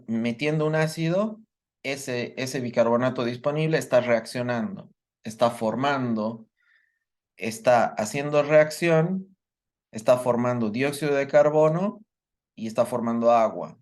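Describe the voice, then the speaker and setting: A man's voice: medium pitch, darkish tone. A man giving a medical lecture, explaining step by step and checking that his students follow. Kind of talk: live online workshop lecture.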